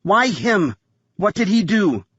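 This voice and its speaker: A man's voice: high voice, very animated, takes it slowly, fairly bright tone, breathy edge, dry recording, muffled recording, voice pushed up, full character voice. A man voicing a tough, urgent woman in an audiobook thriller, giving clipped orders and questions. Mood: demanding.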